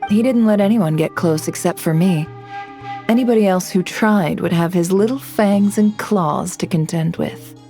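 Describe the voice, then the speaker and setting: A woman's voice: on the low side, moderate pace, dark tone, faint music behind, natural voice. A woman playing a poised, shrewd young lady who deals in information, composed and knowing, in a video game. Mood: relaxed, fond. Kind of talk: English video game voice acting.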